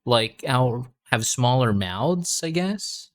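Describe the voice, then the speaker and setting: A man tutoring English in a casual conversation lesson, relaxed and encouraging. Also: medium pitch, slow. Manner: relaxed and encouraging, many "you know"s.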